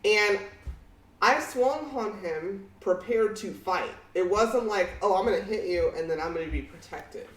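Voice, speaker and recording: Blunt woman with a deepish voice. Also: lively intonation, measured pace, distant mic. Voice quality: fairly deep voice for a woman. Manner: blunt, outspoken, swears freely. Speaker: podcast co-host. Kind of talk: casual podcast chat. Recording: echoey room, off mic.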